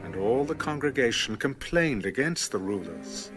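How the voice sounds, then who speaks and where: A man with a mid-pitched voice narrates scripture as drama. His delivery moves animatedly up and down, with music clearly playing underneath. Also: big pitch swings, moderate pace, fairly bright tone.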